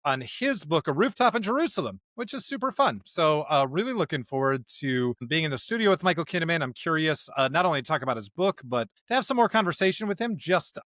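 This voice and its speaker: A man's voice: on the high side, sing-song, fast talker, hardly any pauses, a bit bright, dry recording, studio quality. An American man, a pastor co-hosting a podcast, speaking in an upbeat, emphatic way as he introduces and chats casually. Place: studio.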